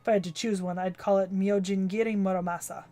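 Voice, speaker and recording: A gruff, proud old swordsmith, put on by a woman reading a game aloud, with a growling voice in her ordinary pitch range. He speaks curtly and commands attention. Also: fairly even intonation, fast, mellow, close to an everyday voice. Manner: curt, commanding delivery. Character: gruff older male swordsmith, blunt and proud. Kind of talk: reading a game's story aloud on stream.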